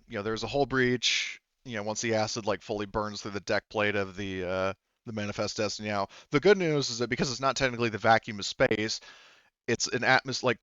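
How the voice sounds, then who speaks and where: A man narrating a tabletop roleplaying game casually as game master, improvising in a halting, rambling way and rephrasing as he goes. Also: fairly low pitch, a bit bright, clean tone, no room sound, lo-fi sound. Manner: halting, rambling, often rephrasing mid-sentence.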